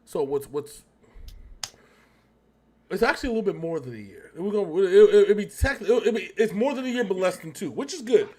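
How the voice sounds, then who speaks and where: A man's voice: high-pitched, animated, fairly quick, darkish tone, slightly rough. An American man hosting a reaction show in casual, slangy, rambling chat.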